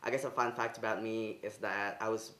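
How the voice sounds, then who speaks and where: A young man who grew up in Indonesia, a student guest introducing himself calmly and a little hesitantly. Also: on the low side, brisk. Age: young adult. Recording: echoey room, faint ambience.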